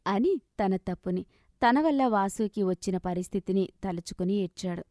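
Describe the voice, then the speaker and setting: A woman narrating a scripted moral story as an expressive, dramatic storyteller. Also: medium pitch, moderate pace, no echo, clean studio sound.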